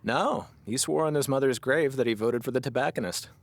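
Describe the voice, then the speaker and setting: A man's voice: mid-range voice, brisk, creaky, lightly acted. A man playing an easygoing small-town radio guy, chatting casually in a comedic audio drama. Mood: amused. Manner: casual, conversational.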